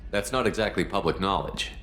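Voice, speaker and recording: A deep-voiced steady commander. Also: male voice, brisk, slight background noise. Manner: calm, direct, businesslike. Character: steady male starship commander. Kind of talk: video game cutscene acting.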